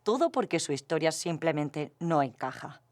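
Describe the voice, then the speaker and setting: A woman's voice: low voice, animated, normal speed. An actress from Spain playing a matter-of-fact female colleague who gives a sober analysis in conversation for an audio drama. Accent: Spanish from Spain.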